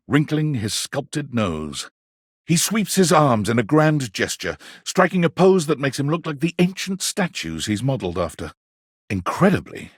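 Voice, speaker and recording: A man narrating a video game story from a script, in an expressive, dramatic storytelling manner with measured phrasing. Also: medium pitch, breathy edge, no echo, clean studio sound.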